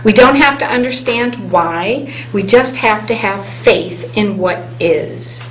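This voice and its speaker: A woman on a hypnosis conference panel, speaking earnestly and reading an inspirational passage aloud, slowing down on key words. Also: mid-range voice. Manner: earnest, inspirational, speeds up and slows for emphasis. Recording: roomy hall sound, faint hum.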